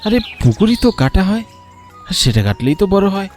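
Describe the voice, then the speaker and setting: A man's voice: sits high, very animated, normal speed, darkish tone, voice pushed up. A man voicing an eager young village fellow in a cartoon, thinking aloud over riddles. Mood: realizing, confident. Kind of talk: Bengali cartoon story dialogue. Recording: music behind the voice.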